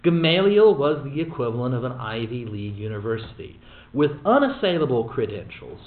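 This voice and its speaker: A man teaching a Bible study in a lively, emphatic way with wry asides. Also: medium pitch, fairly quick. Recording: echoey room over a tinny phone-like line.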